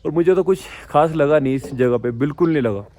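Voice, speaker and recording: A young man vlogging on a trip, his throat a little hoarse, talking bluntly and chattily straight to his viewers. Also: fairly high pitch, fairly quick, warm tone, a little hiss or hum. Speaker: travel vlogger. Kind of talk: casual travel vlog talk.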